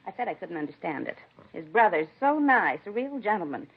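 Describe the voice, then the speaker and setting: Husky, gossipy neighbor lady. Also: mid-range voice, big pitch swings, a little fast. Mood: indignant. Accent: American. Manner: chatty, indignant, rambling. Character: nosy, gossipy, indignant female neighbor who dotes on cats. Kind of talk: 1950s radio drama acting. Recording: dull 1950s radio broadcast recording.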